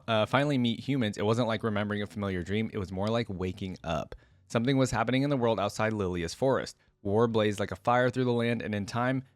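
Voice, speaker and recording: A man's voice: on the low side, dry recording. A man hosting a reaction channel, talking casually and reading aloud as he comments. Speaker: host of a game lore reaction channel.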